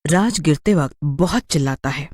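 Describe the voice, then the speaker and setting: A woman's voice: sits low, moderate pace, darkish tone, no room sound, clean studio sound. A woman narrating a scripted story in a dramatic storytelling style.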